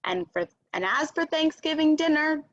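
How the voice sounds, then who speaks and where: A woman reading a children's storybook aloud as narrator, expressive and emphatic. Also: high-pitched, normal speed, highs cut off. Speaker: storybook reader.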